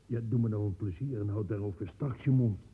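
A weary, henpecked father in an old radio drama. His low voice is very husky, and he mutters short, resigned protests. The sound is thin and boxed-in like a telephone. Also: fairly quick. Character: reluctant, henpecked, grumbling father of a schoolgirl. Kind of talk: naturalistic radio play dialogue. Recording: muffled, band-limited old radio play recording.

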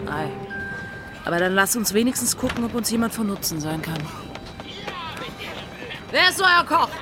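A woman's voice: mid-range voice, big pitch swings, moderate pace, crowd noise, natural voice. An actress playing a bold, level-headed female pirate in a radio drama, speaking in an assertive, pointed and playful way. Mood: reasoning, dry. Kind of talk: German radio drama acting. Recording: studio radio play with music behind.

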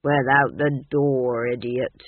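A man's voice: mid-range voice, little pitch movement, slow pace, no echo, narrow, tinny audio. A man voicing a surly castle porter who growls his lines. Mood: sneering.

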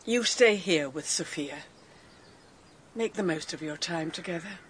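An actress playing a proud, dutiful older British great-aunt with a brisk, no-nonsense manner, in a radio drama. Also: female voice, fairly low pitch, slight background noise, lightly acted. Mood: grave, resolute. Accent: British English.

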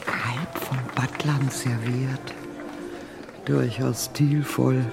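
An actress playing a sharp-tongued, snobbish elderly German émigré mother in a radio drama, haughty and grumbling. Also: a bass voice, fairly even intonation, extremely slow, gravelly, full character voice. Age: older. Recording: music behind the voice.

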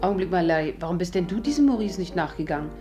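Actress as a sassy barmaid, music behind. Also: female voice, on the low side, lively intonation, close to an everyday voice. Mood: sceptical, dry. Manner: tart, quick-witted, flippant. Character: sassy, sceptical young woman, cheeky barmaid. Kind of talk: 1950s radio drama acting.

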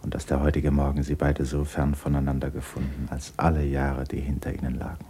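A man narrating a literary radio play in a husky, measured, sombre manner. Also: extremely low pitch, completely flat delivery, mellow.

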